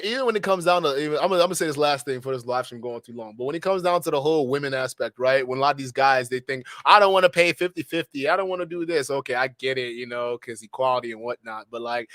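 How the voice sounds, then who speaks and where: A man's voice: fairly high pitch, no room sound, studio quality. Preachy young man from Nigeria. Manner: animated, preachy, with slangy asides. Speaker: live stream host. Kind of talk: casual live stream monologue.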